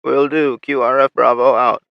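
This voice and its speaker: A man voicing a tough woman squad leader with a raspy voice, giving clipped military radio reports. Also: medium pitch, level tone, moderate pace, no room sound, voice pushed up, close to an everyday voice. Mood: brisk. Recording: muffled lo-fi recording.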